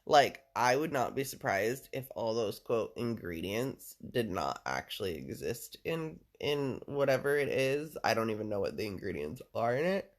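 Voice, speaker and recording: A man with a deep voice chatting casually and at length to a listener, rambling, full of fillers and casual swearing. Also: sing-song, moderate pace, few pauses. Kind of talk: casual personal chat with a listener.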